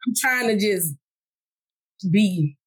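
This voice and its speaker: A woman's voice: mid-range voice, slow pace, slightly rough, low-quality recording. A woman in her forties, a podcast guest, chatting casually and laughing as she tells stories. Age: 40s. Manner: animated, laughs while telling stories.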